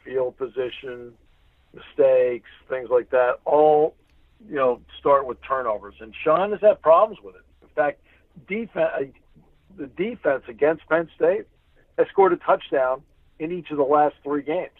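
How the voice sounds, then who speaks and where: A man's voice: fairly high pitch, measured pace, no room sound. A man, a radio sports announcer appearing as a guest, giving conversational analysis and repeating points for emphasis over a phone-like line. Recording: thin dry phone-like line.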